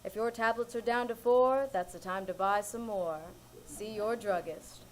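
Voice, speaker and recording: A woman reading a recreated old-time radio commercial in a bright, singsong announcer manner. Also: mid-range voice, normal speed, very noisy. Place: live theater stage.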